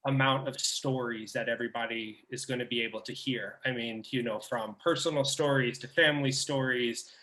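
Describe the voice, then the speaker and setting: Theater staffer, man, bright voice, halting and full of fillers, heard over a video call. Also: mid-range voice, low-quality recording. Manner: hesitant, many fillers and restarts. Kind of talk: casual conversation on a video call.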